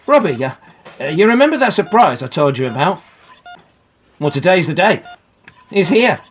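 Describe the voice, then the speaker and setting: A woman voicing a father who is excited and trying to hide it, building up hesitantly in an audiobook drama. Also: fairly low pitch, normal speed. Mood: suppressed excitement.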